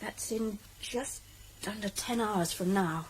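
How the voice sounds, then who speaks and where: An actress in a British radio drama playing a determined, outspoken woman probation officer with a brisk, direct manner. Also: mid-range voice, a little hiss or hum, lightly acted, rough recording. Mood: brisk, resolute.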